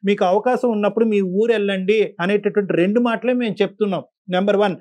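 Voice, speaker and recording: A man hosting a phone-in counselling talk. His voice sits high and moves quickly, and he admonishes earnestly, repeating himself for emphasis. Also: fairly even intonation, a little mellow. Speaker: show host and counsellor.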